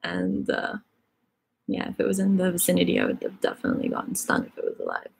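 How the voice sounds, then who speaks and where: A woman's voice: on the low side, wildly swooping pitch, fast, gravelly, a little hiss or hum. A woman whose first language is Egyptian Arabic, chatting casually on a live stream and reading out viewers' questions. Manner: chatty, filler-heavy, reads questions aloud.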